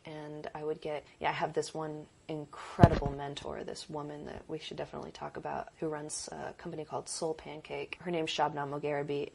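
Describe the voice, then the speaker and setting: A woman with a low, deep voice and a gravelly edge is a guest in an easygoing interview. She speaks earnestly and thoughtfully, circling back and restarting as she works out her points. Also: darkish tone. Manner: earnest, reflective, thinks aloud with restarts. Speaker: producer and director, guest. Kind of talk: casual interview conversation.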